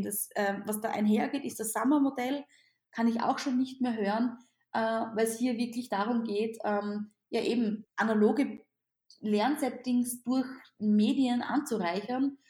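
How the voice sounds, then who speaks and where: Austrian-accented female teacher, non-native, higher voice, roomy echo, lively interview answers. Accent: Austrian German. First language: not German. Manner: animated, repeats words and restarts.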